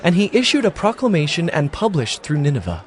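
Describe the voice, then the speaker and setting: A man's voice: fairly high pitch, wildly swooping pitch, a little fast, some chatter behind. A man narrating scripture from a script in a dramatic storytelling style.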